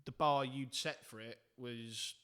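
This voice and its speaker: A man's voice: mid-range voice, steady tone, a little slow, fairly bright tone, smooth. Rambling man in a casual album chat. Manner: rambling, hesitant, many restarts and fillers. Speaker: podcast co-host. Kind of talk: casual round-table album review.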